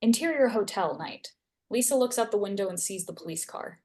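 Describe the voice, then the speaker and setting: A woman's voice: medium pitch, animated, brisk, darkish tone. A woman reading scene headings and stage directions aloud as narrator at a screenplay table read.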